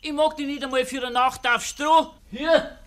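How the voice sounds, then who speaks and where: A village carter with a Bavarian accent, a spurned suitor full of surly mockery. It is 1950s radio drama acting, with faint noise on the recording. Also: male voice, very high-pitched, fairly even intonation, normal speed, a bit bright. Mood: scornful.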